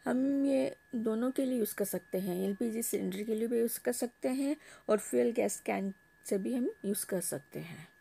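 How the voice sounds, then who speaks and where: Husky, gravelly woman vlogger. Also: a little high, moderate pace, dark tone. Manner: homely, explaining step by step. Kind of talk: casual product unboxing narration. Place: home, table top.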